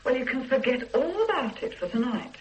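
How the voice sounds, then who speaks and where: A policeman's wife in a radio play, teasing and brisk. She talks fast, and her pitch rises and falls a lot. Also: sing-song, highs cut off, slight background noise. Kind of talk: 1980s radio drama acting.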